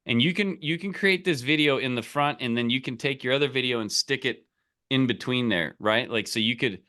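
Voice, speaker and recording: A man's voice: medium pitch, a little fast, bright, forceful tone. A man coaching on a call in a casual, emphatic and direct way, salesy and often checking in with the listener. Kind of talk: casual coaching, explaining and giving example scripts.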